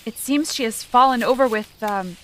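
An actress as a bright-voiced, deadpan Puritan woman. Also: on the high side, normal speed, a little hiss or hum, natural voice, low-quality recording. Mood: feigned politeness. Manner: deadpan, sardonic. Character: dry, impatient young Puritan woman, quietly rebellious. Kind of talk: comedic audio drama acting.

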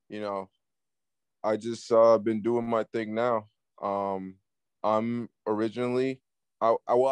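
A man from Peru who grew up partly in New York, giving casual interview answers as a music artist, haltingly and with repeated words. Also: fairly low pitch, darkish tone, clean tone, dry recording. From Peru, moved to the US at six, based in New York City. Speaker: guest, up-and-coming Latin music artist.

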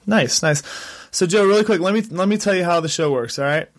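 A man's voice: sits high, a little fast, no room sound. Stuffed-up nasal male podcast host. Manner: upbeat, energetic, frequent restarts. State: stuffed up, nasal from a sinus infection. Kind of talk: podcast intro and interview. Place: Colorado.

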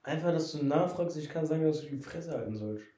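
A man's voice: on the high side, expressive, fairly quick, warm tone, reverberant. A young man co-hosting a casual podcast chat, tired and joking, sometimes mumbling. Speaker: podcast co-host.

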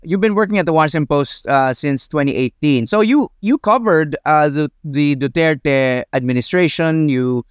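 A man with a Filipino accent hosting an interview, putting his questions in a conversational, halting way. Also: on the high side, smooth, no echo, studio quality. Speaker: interviewer, podcast host.

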